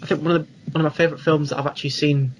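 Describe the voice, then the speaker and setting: Young british lad chatting on a podcast, voice on the higher side, bit of noise behind him. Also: male voice, level tone, very fast, lo-fi sound. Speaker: film podcast co-host. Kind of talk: casual chat.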